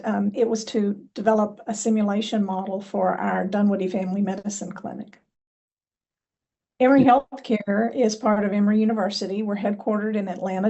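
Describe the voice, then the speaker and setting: A woman giving a prepared presentation as a healthcare executive, measured and punctuated by ums and uhs. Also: mid-range voice, gravelly, warm tone.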